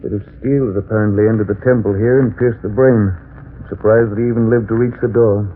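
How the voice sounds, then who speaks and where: An actor playing an earnest, excitable professor obsessed with his experiment, dramatic and commanding in old-time radio melodrama style. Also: fairly low pitch, fast talker, lightly acted. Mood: intent, scheming. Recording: noisy, tinny old radio drama recording.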